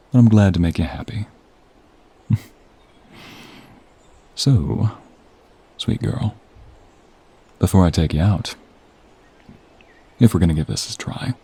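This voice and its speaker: Guy acting as a confident flirty office coworker, low dark husky voice, romantic roleplay audio, teasing and intimate. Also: heavily acted. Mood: tender, teasing. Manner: smooth-talking, teasing, intimate. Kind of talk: romantic audio role play.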